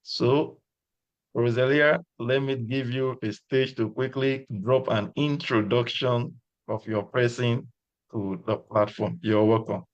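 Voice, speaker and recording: A man's voice: mid-range voice, fairly even intonation, lo-fi sound. A male host formally inviting a guest to introduce herself during a livestream. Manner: slow, deliberate formal phrasing.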